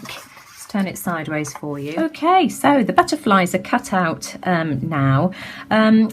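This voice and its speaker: A middle-aged British woman with a slightly croaky voice, casually talking through a craft demonstration with lots of ums and restarts. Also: lowish voice, a little hiss or hum. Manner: chatty, many ums and restarts. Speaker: craft tutorial host. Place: home craft table.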